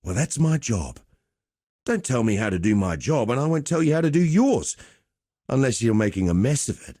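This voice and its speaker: A roguish gladiator master from a video game, easygoing and cocky. His mellow voice swings freely in pitch as he teases and goads with wry swagger. The recording is close and dry. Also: sing-song, normal speed, no echo. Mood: cocky, teasing. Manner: wry, teasing, conversational swagger. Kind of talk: video game character dialogue.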